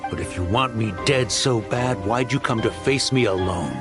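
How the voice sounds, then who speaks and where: An actor playing a greedy smuggler posing as a philanthropist, boastful and wheedling, in a game cutscene over faint music. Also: male voice, on the low side, moderate pace, natural voice. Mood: taunting.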